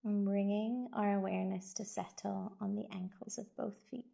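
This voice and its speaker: A woman guiding a body scan meditation as a yoga teacher, giving gentle, soothing instructions with long pauses. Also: medium pitch, steady tone, gravelly, lo-fi sound.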